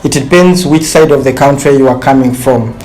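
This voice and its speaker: A man from western Uganda hosts a casual group interview. He has a Ugandan accent and a medium-pitched voice. His phrasing is loose and repetitive, as in everyday conversation. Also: a little fast, darkish tone, low-quality recording. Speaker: host.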